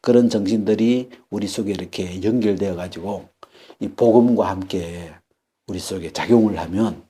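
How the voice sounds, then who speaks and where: A man in his fifties preaching a Sunday sermon, halting and repeating words, then rising sharply for emphasis. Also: husky. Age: 50s. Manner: animated preaching, pitch swinging up for emphasis and dropping low. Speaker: pastor.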